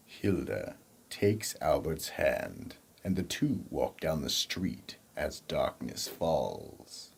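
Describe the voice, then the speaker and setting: A man narrates a radio mystery with a very deep voice and slow, deliberate pace. His delivery swings widely in pitch for suspense. There is faint noise behind him. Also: very animated, creaky, slightly rough. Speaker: narrator and announcer.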